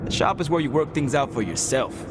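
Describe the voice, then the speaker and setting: A man as an animated, casual young hero. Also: fairly high pitch, brisk, noisy background, natural voice. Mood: sincere, inviting. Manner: casual, sincere, encouraging. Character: laid-back, encouraging young male hero mechanic. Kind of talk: video game voice acting. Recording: loud music under the voice.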